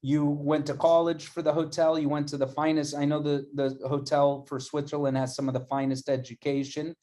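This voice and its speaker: A man's voice: fairly high pitch, flat delivery, fairly quick, dark tone. Enthusiastic male interview host. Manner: enthusiastic, effusive. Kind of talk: upbeat video-call interview.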